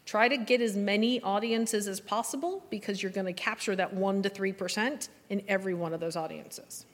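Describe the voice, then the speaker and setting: A woman's voice: medium pitch, fairly quick. A woman giving practical advice as a guest on a live panel. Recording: echoey hall with long reverb.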